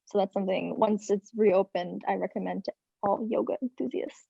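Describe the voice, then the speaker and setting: A woman's voice: mid-range voice, steady tone, normal speed, vocal fry, breathy edge, dry recording. A student, a woman, chatting on a video call, full of fillers, her audio dull and lo-fi. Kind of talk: casual panel chat.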